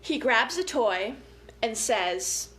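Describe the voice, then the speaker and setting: A woman's voice: fairly high pitch, lively intonation, slow pace, fairly bright tone. A woman hosting a casual, interactive story time for children, chatting in a playful, informal and encouraging way. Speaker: story time host, family educator. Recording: echoey room with light background noise.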